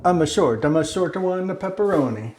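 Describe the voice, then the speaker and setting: A man hosting a home cooking video, chatty and relaxed, talking through each step of a simple recipe. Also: sits high, brisk, over loud music. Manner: relaxed and chatty, slips into a joking mock-Italian accent. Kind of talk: casual cooking demonstration.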